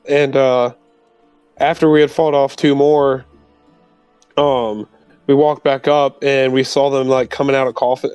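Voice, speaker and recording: A man playing a tabletop roleplaying game, speaking casually in character and rambling haltingly. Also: mid-range voice, darkish tone, smooth, no room sound.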